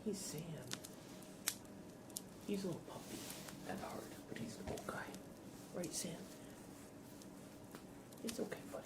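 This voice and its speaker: A man streaming live ASMR, speaking softly and often in a whisper, with a playful, deadpan roleplay manner. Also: high-pitched, measured pace, pauses often, very noisy.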